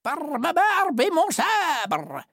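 A woman's voice: very high voice, wildly swooping pitch, fairly bright tone, dry recording, clean studio sound, exaggerated voice. A woman doing a squawking parrot. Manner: repeats phrases in a drawn-out squawk. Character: squawking parrot that mimics the crew.

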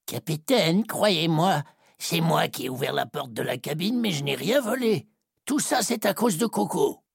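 A woman voicing an anxious one-eyed male pirate sailor who speaks low, slow and pleading. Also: very animated, gravelly, breathy edge, no room sound, studio quality, heavily acted.

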